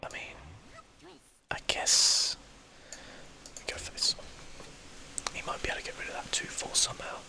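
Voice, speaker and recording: A person whispering casual ASMR gameplay commentary, breathy and unvoiced, thinking aloud as they play. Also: moderate pace, pauses often, sharp tone. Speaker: gaming video host.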